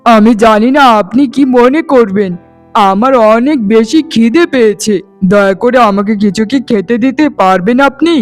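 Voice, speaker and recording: A man's voice: extremely high pitch, normal speed, faint music behind. A man playing a meek young village cowherd in a cartoon fairy tale, speaking in a polite, pleading manner. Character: lost, hungry young cowherd flute player, meek and smitten.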